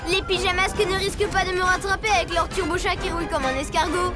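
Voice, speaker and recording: A woman's voice: very high-pitched, fairly even intonation, quick pace. A woman voicing a boastful, scheming boy mad scientist in a children's cartoon, with a theatrical, gloating delivery. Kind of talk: animated children's cartoon acting. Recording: music under the dialogue.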